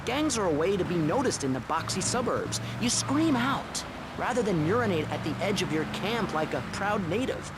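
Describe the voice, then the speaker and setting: High-voiced preachy activist, loud traffic noise. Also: male voice, sing-song, normal speed. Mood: earnest, preachy. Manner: earnest, preachy. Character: naive, earnest, privileged young male hippie activist. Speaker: activist guest on a panel show. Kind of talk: satirical radio debate acting. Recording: loud car and street noise over it. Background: vehicle engine and city traffic.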